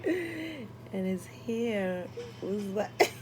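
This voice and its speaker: A woman chatting casually as a vlogger, telling a personal story in an expressive, exasperated, sweary and comedic way. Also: medium pitch, very slow, loud music behind the voice.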